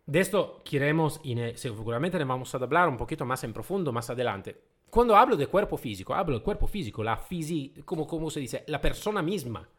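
Animated man with an Italian accent. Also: fairly high pitch, a little fast. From Italy. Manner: emphatic, repeats himself, apologizes for his Spanish. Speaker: host. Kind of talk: casual spoken monologue.

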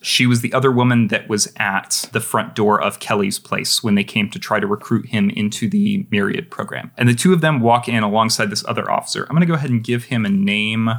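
A man narrating as game master of a tabletop roleplaying game, in a relaxed and easygoing way. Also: fairly low pitch, brisk, hardly any pauses.

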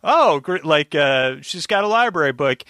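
A man's voice: a little high, expressive, moderate pace, bright, forceful tone, no room sound, studio quality. A man chatting casually as a podcast guest, rambling as he thinks aloud. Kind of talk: casual chat.

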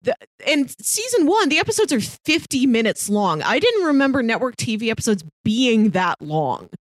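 A woman's voice: fairly high pitch, big pitch swings, normal speed, no room sound. A woman co-hosting a podcast, chatting casually as she reviews a show. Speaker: podcast co-host.

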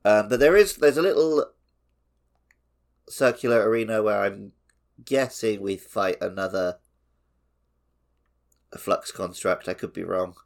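A man's voice: on the low side, measured pace, creaky, pauses often. A man co-hosting a podcast, talking casually through a video game. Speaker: podcast co-host.

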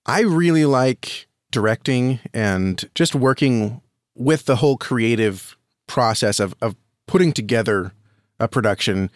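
A man's voice: mid-range voice, animated, measured pace, no room sound, studio quality. A man being interviewed on a podcast, explaining at length in a conversational way and often repeating words as he finds his phrasing. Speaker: podcast guest, audio drama producer and reviewer.

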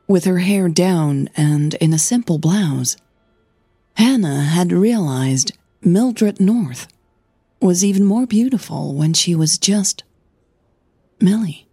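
A woman narrating scripted fiction in a measured, intimate storytelling manner. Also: on the low side, warm tone, slightly rough, dry recording, clean studio sound. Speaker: audio drama narrator.

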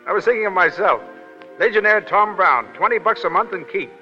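A man's voice: sits high, expressive, fairly quick, faint music behind. An actor playing a brash, self-effacing American Foreign Legion private in 1930s radio drama, with blunt, slangy tough-guy talk. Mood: bitter, resigned. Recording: vintage 1930s radio, dull, hall reverb.

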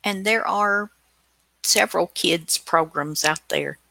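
A woman's voice: fairly low pitch, slow pace, gravelly, bright, forceful tone, no echo, rough recording. A woman hosting a radio show in casual chat, with a folksy, teasing manner.

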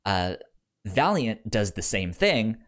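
A man's voice: lowish voice, sing-song, slow pace, a bit bright. A man co-hosting a podcast, chatting casually about books and often restarting and repeating his words as he goes. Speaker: podcast co-host. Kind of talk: casual book discussion.

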